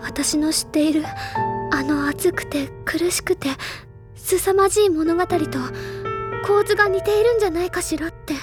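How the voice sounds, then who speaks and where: A woman playing an excitable, bookish schoolgirl senior in an anime audio drama, with a girlish, expressive delivery. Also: very high-pitched, moderate pace, music in the background. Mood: earnest, pondering.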